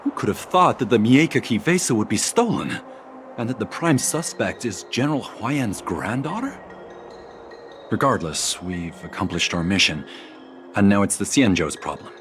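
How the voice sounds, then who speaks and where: Deep, animated, proudly earnest man. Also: moderate pace, slight background noise. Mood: indignant. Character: earnest, talkative man proudly defending a revered sword. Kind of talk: video game dialogue acting. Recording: music behind the voice.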